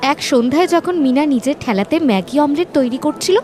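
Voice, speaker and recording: Woman narrating a cartoon tale, pretty high pitched, soft music behind. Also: expressive, fairly quick. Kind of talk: scripted cartoon story narration.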